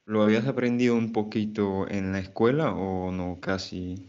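A man with a very deep voice hosts a relaxed interview over a video call, speaking slowly and clearly. His earphone headset gives a slightly dull, muffled sound. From France. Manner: friendly, asks questions slowly and clearly. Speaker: host of a language channel. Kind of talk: conversational interview.

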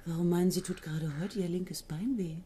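A dreamy, evasive young student in a radio play. Her voice is mellow and husky, and she answers quietly, at times dropping to a whisper. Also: lowish voice, steady tone, a little fast. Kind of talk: 1970s radio play acting.

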